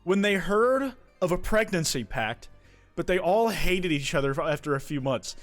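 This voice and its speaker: Young male streamer, a touch high-pitched, loose rambling delivery full of profanity; faint music under him. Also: expressive, normal speed. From United States. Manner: rambling, profane, many restarts. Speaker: streamer reading viewer confessions. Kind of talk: casual live-stream chat.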